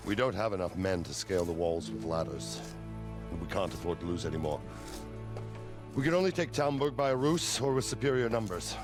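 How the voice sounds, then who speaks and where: A man's voice: deep voice, expressive, fairly quick, music in the background. An actor playing a grim, pragmatic medieval captain in a game, a man who talks seriously and matter-of-factly. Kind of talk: dramatic game cutscene acting.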